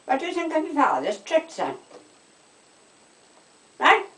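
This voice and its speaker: An older woman with a South Wales Valleys accent, chatting casually and telling anecdotes in an animated way. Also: sits high, a little slow. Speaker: storytelling interviewee. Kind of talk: casual chat, personal anecdotes. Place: indoors at a house. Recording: roomy echo, distant microphone.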